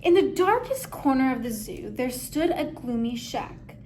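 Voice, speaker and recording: High-voiced woman reading a picture book slowly. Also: expressive, darkish tone, a little hiss or hum. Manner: measured, dramatic phrasing. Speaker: story reader. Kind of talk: expressive picture-book read-aloud.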